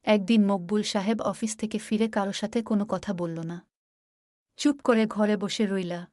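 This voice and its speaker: A woman's voice: medium pitch, steady tone, moderate pace, dark tone, dry recording, clean studio sound. A woman reading scripted narration for a cartoon story.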